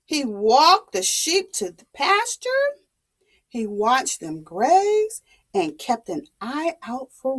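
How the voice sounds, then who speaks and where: A woman's voice: on the high side, breathy edge. A woman telling a story to young children in a dramatic way, asking questions and repeating things to explain them.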